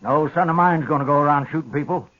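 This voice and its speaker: A man's voice: fairly high pitch, quick pace, close to an everyday voice. An actor playing a stubborn old rancher father with a folksy Western drawl in a 1950s radio western. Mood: indignant, stubborn. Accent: American Western drawl. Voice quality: folksy rural speech. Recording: dull old radio recording.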